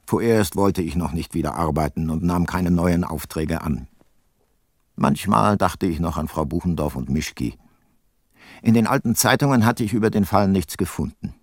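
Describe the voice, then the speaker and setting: Low-voiced, laconic private detective. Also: male voice, moderate pace, no echo, clean studio sound. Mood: reflective, wry. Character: weary, reflective male private detective narrating his case. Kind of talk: scripted narration in a radio drama.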